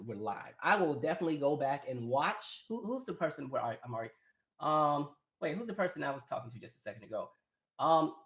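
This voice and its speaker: A man's voice: a little high, vocal fry. An American man hosting a casual live chat, opinionated and colloquial, thinking aloud as he goes. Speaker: live stream host. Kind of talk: casual live chat, reading and answering viewer comments. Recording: echoey room on a live stream.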